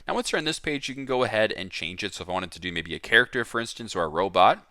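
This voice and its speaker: A man's voice: lowish voice, lively intonation, rapid-fire, bright, forceful tone, no echo, studio quality. A man hosting a step-by-step app tutorial in a straightforward, instructional manner. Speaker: tutorial host.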